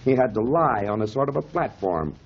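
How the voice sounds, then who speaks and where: An actor playing a kindly, avuncular mentor explaining things to children in emphatic 1930s radio style, on a muffled old recording. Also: male voice, medium pitch, expressive, a little fast, slightly rough. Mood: earnest storytelling. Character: kindly grown man, storytelling mentor to children, pipe smoker.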